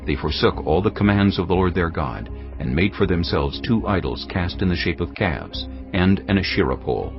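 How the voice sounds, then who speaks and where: A man narrating dramatised scripture from a script in a grave, measured, dramatic manner. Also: sits low, sing-song. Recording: dull audio, music underneath.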